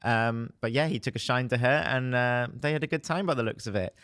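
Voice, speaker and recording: A hoarse young man, a showbiz reporter telling festival stories in a chatty way and laughing often. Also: mid-range voice, a little fast, a bit bright, dry recording, clean studio sound. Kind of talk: casual podcast chat.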